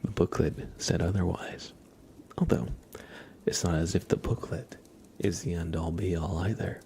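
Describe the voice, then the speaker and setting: A man hosting live ASMR, chatting softly and playfully in a breathy, often whispered voice. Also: low-pitched, steady tone, slight background noise. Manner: playful, unhurried, talks to his cat. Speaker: livestream ASMR host.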